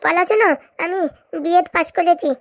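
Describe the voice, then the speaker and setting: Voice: very high voice, normal speed. A performer voicing a polite, obedient young woman, a bride-to-be, in a comic cartoon. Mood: demure.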